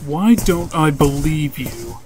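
High-pitched male reporter, man acting: indignant, suspicious, animated, cartoon dub on a noisy recording. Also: slow pace. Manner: indignant, curt. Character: suspicious, exasperated mild-mannered reporter, secretly a superhero. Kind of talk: comic-book dub.

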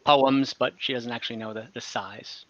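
A young man as game master, narrating a tabletop game on a video call, relaxed, with frequent fillers. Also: mid-range voice, normal speed, dry recording. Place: home room on a video call. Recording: dull lo-fi call audio.

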